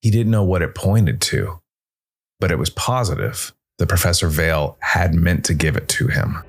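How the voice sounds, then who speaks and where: A man narrating a scripted dramatic story in a measured way, with pauses for effect. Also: sits low. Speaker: narrator, solo storytelling player.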